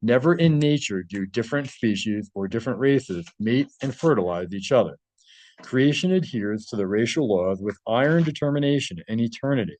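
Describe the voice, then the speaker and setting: A man's voice: medium pitch, lively intonation, moderate pace, a little mellow, poor audio. A man reading a translated article aloud and adding his own informal commentary.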